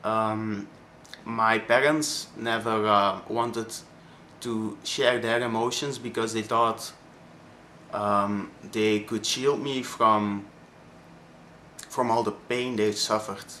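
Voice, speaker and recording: A man of twenty-one from Belgium with a Flemish accent, his first language Dutch, speaking haltingly with many pauses in a personal vlog. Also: lowish voice, steady tone, bright, forceful tone, a little hiss or hum. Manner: hesitant, many ums and pauses. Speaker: vlogger.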